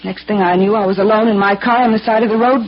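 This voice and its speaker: A woman's voice: medium pitch, fairly even intonation, quick pace, slight background noise, close to an everyday voice. An actress playing a bitter, wronged widowed mother with refined, dramatic speech in 1940s radio style. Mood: aggrieved, desperate. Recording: dull old radio recording, faint music.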